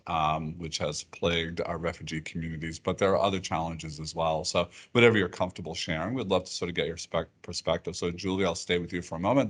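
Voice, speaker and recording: A middle-aged man, a university president, moderating a roundtable in a measured, thoughtful way. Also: deep voice, a bit bright.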